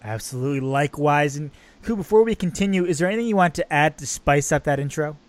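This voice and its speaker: A man's voice: a little high, lively intonation, quick pace, no echo. A man hosting a podcast, reading a polished, upbeat intro and asking interview questions. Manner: upbeat, polished announcer delivery. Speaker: podcast host.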